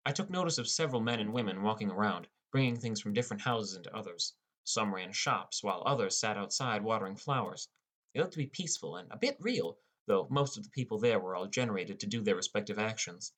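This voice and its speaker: A man's voice: on the low side, animated, fast, fairly bright tone. A man narrating a scripted first-person story as an audiobook narrator.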